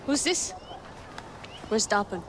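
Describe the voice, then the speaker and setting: A woman's voice: medium pitch, very animated, slow pace, noisy background. An actress playing a stern, blunt, touchy female rebel commander in a video game cutscene. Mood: irritated, scornful. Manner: curt, blunt. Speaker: rebel leader. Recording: game music behind the voice.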